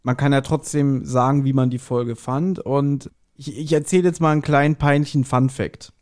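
A man's voice: mid-range voice, fairly even intonation, moderate pace, a little mellow. A man co-hosting a podcast, recapping a story in casual, chatty conversation. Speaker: podcast co-host. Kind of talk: casual chat recapping an audio drama episode.

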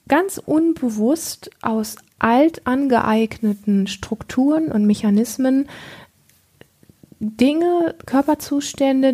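A woman's voice: on the high side, warm tone, breathy edge. A woman talking earnestly about awareness as a coach, often searching for words with fillers. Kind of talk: reflective teaching talk.

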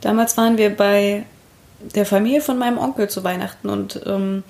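A woman's voice: mid-range voice, steady tone, normal speed, gravelly, a little mellow. A young woman chatting casually with her partner in an easygoing way, often laughing.

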